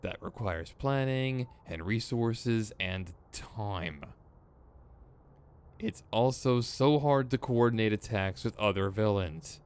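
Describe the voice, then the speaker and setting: A man's voice: lowish voice, slow pace, a little mellow, clear, resonant tone. A man playing a theatrical, sardonic supervillain in a scripted ASMR roleplay, exasperated and smug, with mock menace and a grumbling, rambling streak.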